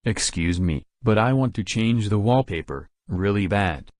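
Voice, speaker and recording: A man acts as a grumbling cartoon computer user with a lowish, dry voice. He is blunt, demanding and easily annoyed. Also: expressive, a little slow, a little mellow, dry recording. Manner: blunt, whiny, complaining.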